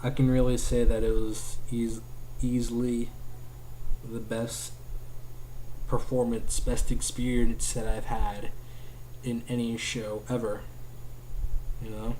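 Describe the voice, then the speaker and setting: A young man chats to a webcam from a small room at home, his low, mellow voice staying fairly flat. He rambles and restarts, filling gaps with "like", over a faint hiss of room noise. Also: takes it slowly, pauses often, low-quality recording. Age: young adult. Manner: rambling, heavy use of "like", restarts often. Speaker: vlogger. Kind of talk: casual talk to camera. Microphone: webcam at desk distance.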